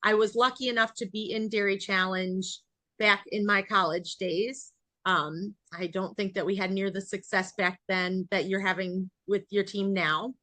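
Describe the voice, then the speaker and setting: A woman's voice: mid-range voice, fairly even intonation, moderate pace, pushed and bright, no room sound. An American woman hosting a podcast in a casual, chatty way, bubbly and joking at her own expense. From New York, United States. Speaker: podcast host.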